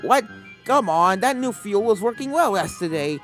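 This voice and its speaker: A narrator voicing an eager, stubborn old unicorn stallion inventor in a storybook reading, exclaiming with drawn-out words. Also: medium pitch, animated, faint music behind, voice pushed up, natural voice. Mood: grumbling, determined. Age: older.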